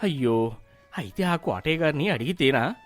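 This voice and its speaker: A man playing a weary, anxious village father in an animated moral story, gentle but grumbling. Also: a little high, normal speed, soft background music, close to an everyday voice. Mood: worried, grumbling.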